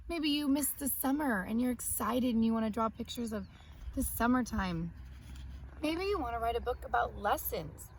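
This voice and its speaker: High-pitched woman teaching young children. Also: a little hiss or hum. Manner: simple step-by-step explaining to young children. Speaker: elementary school teacher. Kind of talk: read-aloud and children's art lesson.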